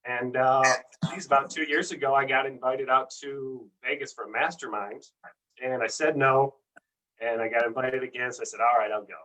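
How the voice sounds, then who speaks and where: Bright-voiced man on a distant mic. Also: medium pitch, fairly even intonation, moderate pace. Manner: earnest, rambling with repeated words. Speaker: guest. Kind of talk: casual chat.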